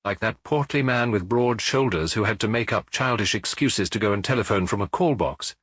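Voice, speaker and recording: A brooding middle-aged detective, voiced by a male narrator, muttering in a low, husky voice. He is terse, in a storybook crime tale. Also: moderate pace, a bit bright, no echo. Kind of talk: audiobook character reading.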